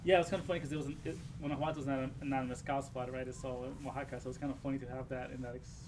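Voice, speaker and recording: A man's voice: medium pitch, flat delivery, very fast, noisy background. A man chatting casually, laid-back and slangy, with some swearing. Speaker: podcast participant. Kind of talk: casual chat, storytelling.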